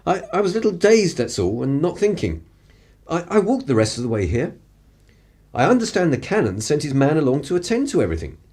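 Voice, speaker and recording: A man plays an upper-class English gentleman spy in a radio drama. His slightly husky voice swings widely in pitch, haughty and flustered, with old-fashioned dramatic phrasing. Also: big pitch swings, a little fast. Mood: smooth, condescending. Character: flustered, condescending upper-class male spy, drunk and desperate. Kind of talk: radio play acting.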